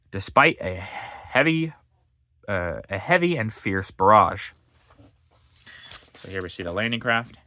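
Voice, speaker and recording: A man reads a war history book aloud and breaks off to comment casually. His voice is bright and lively in pitch. He stumbles, fixes his own slips and fills pauses with "uh" and "um", close to a dry mic. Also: dry recording. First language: English. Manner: halting reading with fillers and self-corrections.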